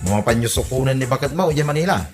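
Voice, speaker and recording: Quick-talking Ilocano village buddy acted by a man. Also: medium pitch, animated, close to an everyday voice. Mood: casual, helpful. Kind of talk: Ilocano comedy radio drama acting. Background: music.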